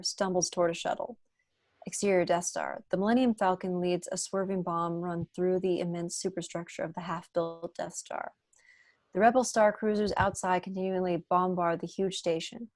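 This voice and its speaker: Lowish-voiced woman narrating a screenplay. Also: no echo. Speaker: narrator reading stage directions. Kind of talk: scripted narration at a table read.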